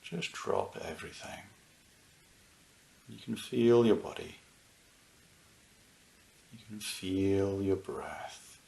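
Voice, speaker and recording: Slow, low-voiced man guiding meditation, gravelly edge. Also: darkish tone, reverberant. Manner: soothing, unhurried pauses between short phrases. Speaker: meditation teacher. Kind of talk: guided meditation, unscripted and gentle.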